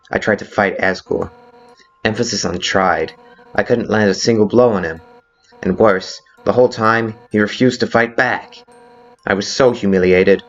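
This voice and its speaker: A man's voice: fairly low pitch, muffled recording, natural voice. A man voicing a brash, hot-headed female monster guard captain with blunt, informal delivery in a video game fan dub. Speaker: character voice actor in a fan dub. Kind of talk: dramatic video game dialogue. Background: background music.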